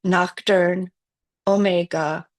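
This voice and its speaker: A woman poet from Wales, whose first language is Welsh, reads her work at an unhurried pace. Her voice sits a little low and sounds dry and close, with no room around it. She reads in a measured, reflective way. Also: fairly even intonation, no echo. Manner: slow, measured and reflective reading. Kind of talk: poetry reading.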